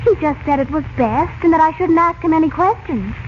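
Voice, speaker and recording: An actress playing a plucky, impulsive American girl in a 1940s-style radio adventure serial, earnest and excitable, with an American accent. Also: sits high, brisk. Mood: bright, naive. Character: plucky, impulsive American girl held captive, naive and indignant. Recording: muffled old-radio sound with hiss.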